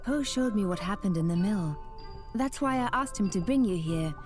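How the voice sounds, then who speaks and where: An actress voicing a calm, friendly witch who lives alone in the woods, in a game cutscene. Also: mid-range voice, normal speed, soft background music, natural voice. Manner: calm, explaining. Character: young woman, a hermit witch, calm and friendly.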